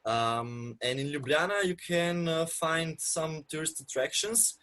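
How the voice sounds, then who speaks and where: A young man from Slovenia chatting casually as an interview guest, relaxed and full of ums and soft laughs. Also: fairly high pitch, unhurried. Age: young adult.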